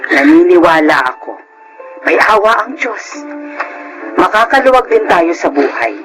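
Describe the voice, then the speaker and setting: A poor but hopeful middle-aged mother in a Filipino radio drama. She speaks earnestly with very lively intonation. Soft music is heard faintly behind. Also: on the low side, a little slow. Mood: consoling.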